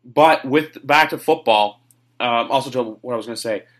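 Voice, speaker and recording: A man's voice: mid-range voice, normal speed, a bit bright. A man hosting a sports podcast in casual chat, rambling and opinionated. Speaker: podcast host.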